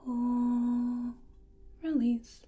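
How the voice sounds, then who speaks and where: A woman speaking softly and often in a whisper, gently guiding the listener through an ASMR personal attention roleplay as an energy healer. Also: on the high side, monotone. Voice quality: soft, breathy, often whispering. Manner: gentle, unhurried, reassuring. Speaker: ASMR performer playing an energy healing practitioner.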